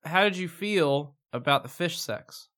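Hoarse guy on a movie podcast, kinda high voice, rambly and unsure, laughs a lot. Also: animated, measured pace, fairly bright tone. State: hoarse, losing his voice. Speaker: podcast co-host. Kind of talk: casual movie review chat.

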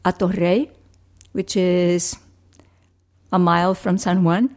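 An older woman from Rico, a family physician answering interview questions in a measured, thoughtful way. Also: fairly low pitch, fairly even intonation, a little mellow. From Puerto Rico. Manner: measured, thoughtful, with frequent restarts.

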